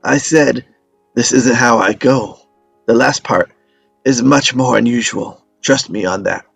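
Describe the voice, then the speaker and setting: A stubborn, ailing old storyteller played by a man, folksy and wry. His voice is somewhat low with a gravelly creak, dry and close, as he spins tall tales in a dramatic script read. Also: unhurried, a bit bright, no room sound, faint music behind, heavily acted, rough recording. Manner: folksy, evasive, joking storyteller.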